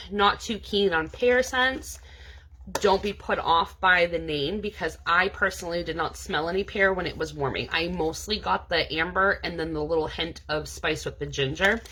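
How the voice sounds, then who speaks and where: A woman's voice: on the low side, sharp tone, slight background noise, low-quality recording. A woman from New England giving a casual, chatty product review as a scent consultant, sounding stuffed up from allergies and filling her talk with "um" and "like". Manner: chatty, many "um" and "like" fillers.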